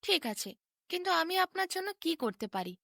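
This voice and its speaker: A woman's voice: extremely high pitch, sing-song, moderate pace, gravelly, a bit bright, no room sound, clean studio sound, pitched above the performer's own voice, full character voice. A woman voicing a humble, selfless young shepherd boy in a fairy tale, polite and questioning. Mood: polite, curious.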